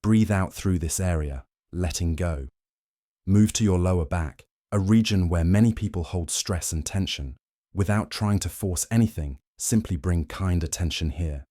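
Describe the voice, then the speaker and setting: A man reading a scripted guided sleep meditation in a slow, soothing, measured way. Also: low-pitched, warm tone, dry recording, clean studio sound.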